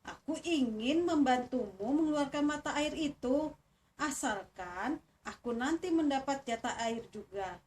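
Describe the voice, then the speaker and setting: A trusting, hesitant elephant from a children's fable, acted out by a woman. It asks things in a friendly, high voice. The sound is a little distant, with faint noise. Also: expressive, unhurried, darkish tone. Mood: eager. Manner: questioning, friendly.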